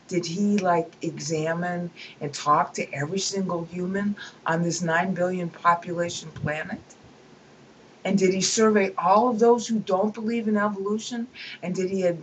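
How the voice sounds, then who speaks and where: A woman's voice: fairly low pitch, slight background noise, low-quality recording. A woman reading a book aloud at her computer and breaking off into emphatic, scornful commentary. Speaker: reader and commentator.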